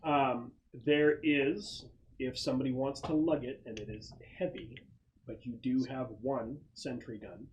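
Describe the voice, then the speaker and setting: A man's voice: mid-range voice, steady tone, unhurried. Man game master, slightly noisy room. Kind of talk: narrating a tabletop game, casual. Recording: clear background noise, clicks.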